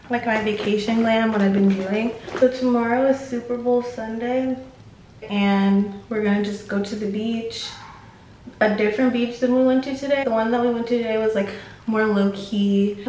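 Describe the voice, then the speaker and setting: A young woman vlogs into a phone held at arm's length, chatting loosely and dropping curse words between every "like." Her voice sits a bit high and bounces off the hard walls of a room. A steady background noise runs under her. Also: reverberant, rough recording. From United States. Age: young adult. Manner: chatty, swears freely, says "like" a lot. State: tired. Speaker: travel vlogger. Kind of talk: casual vlog to camera. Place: indoors in a vacation house. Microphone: phone camera at arm's length.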